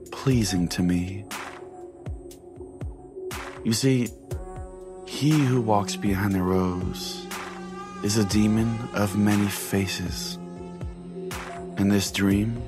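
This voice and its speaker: A man narrating a first-person story as a podcast host, with deliberate pauses and a dramatic delivery. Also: on the low side. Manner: deliberate, pausing. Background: music.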